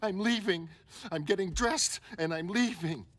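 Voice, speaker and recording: A man's voice: sits high, measured pace, fairly bright tone. A man voicing an exasperated, emotional woman in a motion comic, with an agitated, pleading and blunt manner. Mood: angry, hurt. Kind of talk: motion comic dramatic reading.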